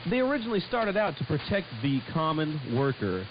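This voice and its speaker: A man's voice: a little high, very animated, fairly quick, muffled recording, background noise. A man co-hosting a casual radio talk show, rambling and stumbling over his words, on a shortwave broadcast. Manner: rambling, hesitant with frequent stumbles.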